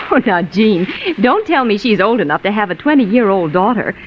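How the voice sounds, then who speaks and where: A woman's voice: medium pitch, animated, normal speed, natural voice. An actress playing a curious, chatty, gushing woman in a 1940s radio commercial sketch. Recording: muffled vintage radio, faint noise.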